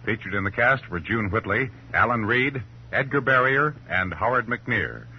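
A man's voice: lowish voice, very animated, moderate pace, husky. An American man reading scripted credits and promotions as a formal, emphatic 1950s radio announcer. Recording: muffled old radio broadcast, loud music. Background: loud music.

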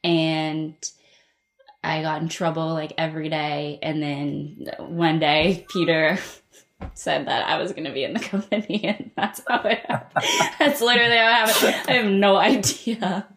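A young woman in her early twenties talks with a fairly low voice. She is informal and self-deprecating, telling stories as a guest in a relaxed chat. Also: normal speed. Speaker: ballet dancer, podcast guest. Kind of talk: casual chat, telling anecdotes.

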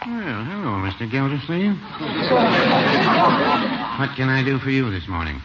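An actor playing a mild, courteous old small-town shopkeeper in a radio comedy, prim, measured and folksy. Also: male voice, medium pitch, sing-song. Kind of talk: 1950s radio situation comedy acting. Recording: old muffled radio recording, faint hiss.

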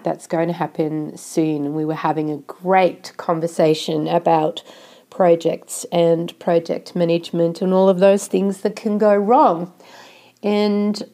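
A woman host sounding nasal from a cold, with a low, mellow, gravelly voice. She explains things slowly and steadily in a measured way. Her delivery is unhurried throughout. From Australia. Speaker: podcast host. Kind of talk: solo podcast talk.

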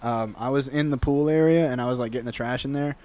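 A man's voice: medium pitch, quick pace, a little mellow. A young man co-hosting a podcast, riffing along with jokes and laughing easily. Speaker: podcast co-host. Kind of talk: casual comedic chat.

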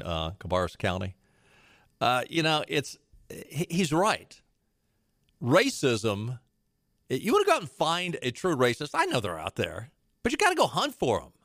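A male talk show host delivers political commentary with emphasis and a sarcastic edge. His pitch rises and falls a great deal as he jumps from point to point. The recording is dry and close. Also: fairly bright tone, no room sound.

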